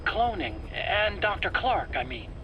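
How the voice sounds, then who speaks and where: High-voiced, nervous, eager scientist. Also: male voice, sing-song, a little slow, muffled recording. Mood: secretive, insistent. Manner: earnest, explanatory, pleading. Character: nervous, eager male scientist under interrogation, self-justifying. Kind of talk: dramatic video game voice acting. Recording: faint background noise, cassette tape interrogation.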